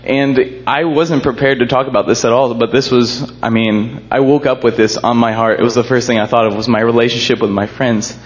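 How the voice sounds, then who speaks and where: A young man with a booming voice sharing a church testimony, earnest and a little shaky, joking about himself. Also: steady tone, brisk, few pauses, lo-fi sound. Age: young adult. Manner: earnest, jokes about himself, laughs nervously. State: shaky with emotion. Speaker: college student sharing a testimony.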